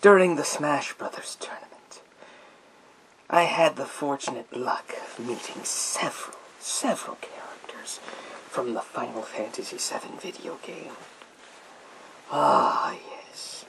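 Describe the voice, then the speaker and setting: A man playing a sinister psychic mind-reading soldier in a gas mask, in a theatrical, drawn-out, whispered ASMR roleplay. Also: medium pitch, lively intonation, gravelly, a bit bright, low-quality recording. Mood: reminiscing. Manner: theatrical, slow, drawn-out, repetitive phrases.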